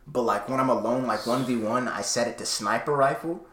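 A young man co-hosting a casual podcast, moving between a loud projected voice and quieter, lower talk. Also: a little fast, echoey room. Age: young adult. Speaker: podcast co-host. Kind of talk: casual chat.

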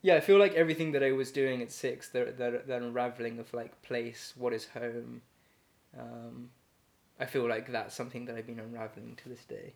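A man's voice: mid-range voice, little pitch movement, a little fast, gravelly. A man, a poet speaking as an interview guest, thoughtful and hesitant, with many fillers and restarts and easy laughter. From Ecuador and England. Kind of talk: casual reflective conversation.

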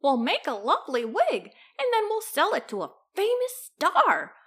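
A woman voicing an excitable, scheming male thief from a rhyming storybook, in a theatrical, exclamatory way. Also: high-pitched, moderate pace, pitched above the performer's own voice, exaggerated voice. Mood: gleeful, boastful. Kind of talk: storybook character voice in rhyming verse.

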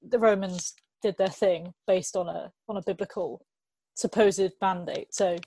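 A woman's voice: mid-range voice, steady tone, measured pace, warm tone. A young woman co-hosting a podcast, chatting casually in a jokey, self-mocking way. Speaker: podcast co-host. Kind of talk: casual chat.

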